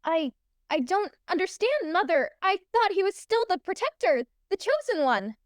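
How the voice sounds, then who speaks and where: A woman's voice: very high voice, very animated, normal speed, dry recording, studio quality. A woman playing an earnest, hopeful young princess in a fantasy audio play, with a pleading manner. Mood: dismayed.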